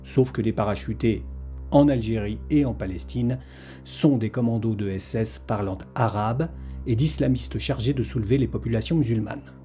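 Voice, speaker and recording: Man narrating history over music, bright delivery. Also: medium pitch, moderate pace. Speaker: documentary narrator.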